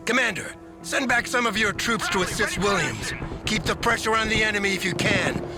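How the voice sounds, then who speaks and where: High-pitched, commanding military general. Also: male voice, lively intonation, brisk, music in the background. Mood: urgent commanding. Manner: commanding, emphatic. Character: gung-ho, authoritative American general giving battle orders. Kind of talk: military strategy game voice acting.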